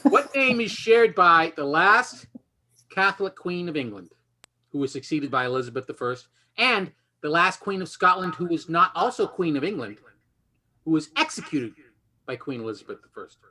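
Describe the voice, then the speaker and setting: A man with a high, bright voice hosts a quiz show. He reads questions to the players and trades playful, teasing banter with them. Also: lively intonation, normal speed. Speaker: game show host.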